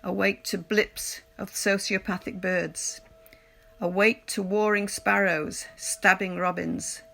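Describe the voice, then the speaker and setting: A woman reading poems aloud as a scripted recitation, in a measured, deliberate delivery. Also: mid-range voice, flat delivery, vocal fry, fairly bright tone, poor audio. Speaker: poetry reader. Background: music.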